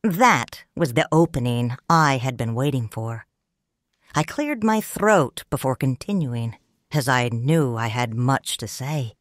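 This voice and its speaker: A woman narrating a story in first person, calm and reflective. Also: extremely low pitch, no echo, clean studio sound.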